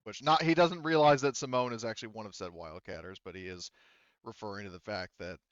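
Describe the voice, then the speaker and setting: A man's voice: lowish voice, fast talker, clear, resonant tone, no echo, highs cut off. A man casually narrating a tabletop game as game master, conversational and paraphrasing as he goes.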